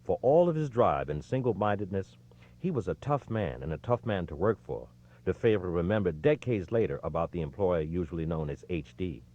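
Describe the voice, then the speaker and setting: A man reading a history book aloud as a scripted audiobook narration, with expressive, emphatic phrasing. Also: deep voice, moderate pace, highs cut off.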